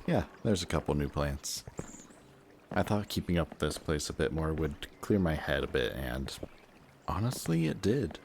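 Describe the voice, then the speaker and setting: A man's voice: very deep voice, background noise. A man playing a reformed antihero and affectionate best friend in an intimate audio roleplay, speaking to the listener in a hesitant, confiding, conversational way. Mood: warm, relaxed.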